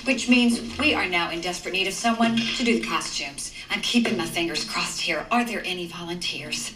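An actress playing a brisk, condescending suburban mother who runs a committee in a TV comedy-drama. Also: fairly low pitch, a bit bright, a little hiss or hum, close to an everyday voice. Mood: brisk, announcing. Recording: echoey room, distant mic, TV clip.

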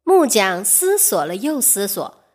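Slow, expressive woman telling a fairy tale. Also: mid-range voice. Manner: measured, expressive storytelling. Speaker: storyteller. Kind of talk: scripted children's fairy-tale narration.

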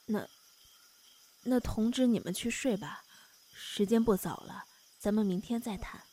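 A woman's voice: mid-range voice, unhurried, mellow, close to an everyday voice. A woman playing a polite, timid young woman in an audio drama, hesitant and apologetic. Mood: polite, subdued.

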